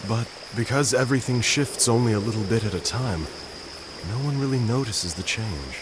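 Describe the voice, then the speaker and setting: An actor playing a gentle, melancholy, philosophical gentleman in a video game cutscene, speaking in a measured, courteous, reflective way. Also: mid-range voice, noisy background, close to an everyday voice. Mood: wistful.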